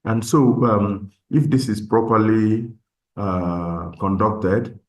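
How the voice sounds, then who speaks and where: A man's voice: lowish voice, takes it slowly, a little mellow. A man giving an unscripted comment as a session participant, hesitant and repeating words as he thinks.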